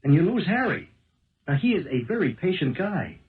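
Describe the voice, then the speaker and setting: A brisk, cautioning brother in a seventies radio mystery. He speaks bluntly and emphatically, in an admonishing, protective way. The old broadcast sounds thin and phone-like. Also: high-pitched, big pitch swings. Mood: admonishing, concerned. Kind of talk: 1970s radio drama acting.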